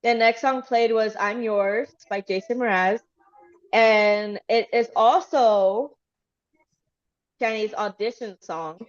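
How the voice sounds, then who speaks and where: A woman's voice: fairly high pitch, steady tone, takes it slowly, lo-fi sound. A woman from Texas casually recapping a livestream in a chatty, rambling way with lots of fillers. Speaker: fan channel host.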